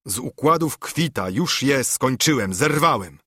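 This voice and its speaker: A man's voice: medium pitch, animated, measured pace, a bit bright, husky, no room sound, studio quality. A man voicing a hot-tempered, stubborn country judge in a dramatised verse audiobook, exclaiming and blustering. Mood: indignant.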